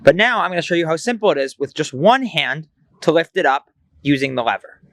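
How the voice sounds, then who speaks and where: A man's voice: a little high, expressive, a little fast, fairly bright tone. A young man reading aloud and explaining simple science for children as a host.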